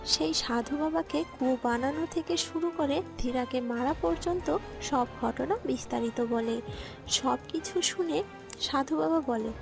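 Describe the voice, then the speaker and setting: High-pitched woman narrating a story. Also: a little slow, vocal fry, music in the background. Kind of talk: scripted cartoon story narration.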